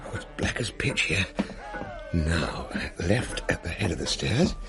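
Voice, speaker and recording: An actor playing a polite, flustered Victorian gentleman with a British accent and a courteous, stammering manner in a comic radio drama. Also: low-pitched, very animated, a little slow, heavily acted. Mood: bemused.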